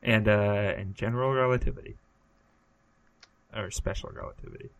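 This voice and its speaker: A man co-hosting a comedy podcast, telling absurd tall tales in a deadpan, casual way. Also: fairly low pitch, normal speed, vocal fry, highs cut off. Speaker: podcast co-host.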